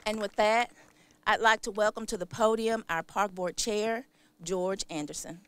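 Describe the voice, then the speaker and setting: A woman's voice: mid-range voice, fairly even intonation, moderate pace, noisy background. A middle-aged American woman delivering a scripted public announcement as a department director. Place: open parking lot outdoors. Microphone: podium microphones.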